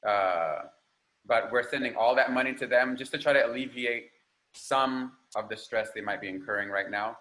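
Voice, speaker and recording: A man's voice: mid-range voice, moderate pace, sharp tone, poor audio. A man on a video-call panel, an organizer who talks about a fundraiser in an earnest, appreciative way. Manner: earnest, appreciative, loose with fillers. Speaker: capoeira teacher, fundraising organizer. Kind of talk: casual panel discussion.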